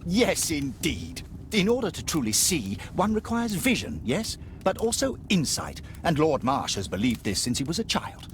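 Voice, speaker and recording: A self-assured physician of the Victorian era in a game. His eloquent pitch to the listener swoops dramatically up and down, with faint noise behind it. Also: huge pitch swings, moderate pace. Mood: suave, persuasive. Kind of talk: video game voice acting.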